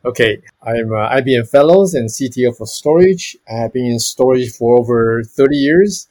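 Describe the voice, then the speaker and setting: A man's voice: mid-range voice, lively intonation, normal speed, darkish tone. A man in his fifties from Taiwan, a storage technology executive answering interview questions earnestly and listing many examples.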